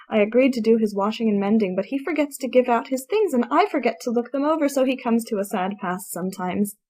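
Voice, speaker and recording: Woman playing a fussing, good-natured landlady, high-voiced. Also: darkish tone, lightly acted. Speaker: voice actor in dramatic audiobook. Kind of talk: dramatised literary audiobook dialogue.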